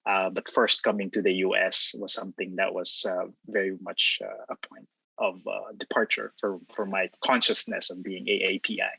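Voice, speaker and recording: A man's voice: sits low, flat delivery, moderate pace, gravelly, bright, forceful tone, slightly rough, dry recording. A man with a Filipino accent, answering as a panelist on a video call, thoughtful and full of hesitations. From Philippines. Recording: dull, dry video-call audio.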